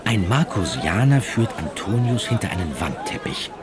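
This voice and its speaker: Lowish-voiced man narrating a radio drama. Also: sing-song, normal speed. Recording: loud music and crowd behind.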